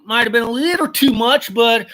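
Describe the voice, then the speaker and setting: Excitable man with a bright voice. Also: very high voice, normal speed. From Mexican heritage. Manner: excitable, swears casually, repeats "freaking awesome". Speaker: hat collector vlogger. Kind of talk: casual unboxing chat.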